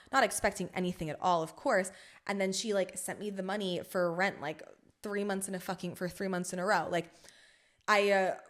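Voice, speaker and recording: Frank, swearing young woman podcaster. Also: mid-range voice. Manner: frank, profane, rambling with many fillers; emphatic, swinging between loud and soft. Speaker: podcast host. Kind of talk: casual solo podcast monologue.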